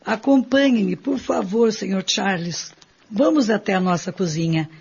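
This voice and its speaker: A woman's voice: medium pitch, lively intonation, a little slow, gravelly, a little mellow, slightly rough, heavily acted. A woman playing a courteous, soothing country housewife receiving a guest in a radio drama, with polite formal manners. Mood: polite, hospitable. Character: courteous, soothing married hostess of a rural house. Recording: dull, lo-fi recording.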